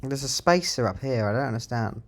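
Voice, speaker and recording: A man with a mid-pitched voice talks through his programming in a casual, unscripted way. He sounds like a developer thinking out loud, musing half to himself as he works. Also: lively intonation, a little fast. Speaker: software developer presenting own project. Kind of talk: casual live-coding narration, thinking aloud.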